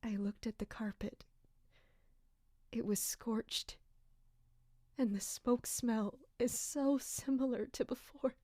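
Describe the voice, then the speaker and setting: Anguished captain, slow and high-voiced. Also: female voice, mellow, no echo, clean studio sound. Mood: haunted, brooding. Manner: slow, brooding, emotionally intense. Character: anguished female royal captain, bitter and dutiful. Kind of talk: dramatic audio drama monologue and dialogue.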